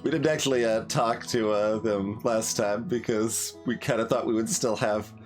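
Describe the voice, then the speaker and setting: A man giving casual game commentary as host, thinking aloud in a halting way. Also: fairly low pitch, steady tone. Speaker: gaming video host. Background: game music.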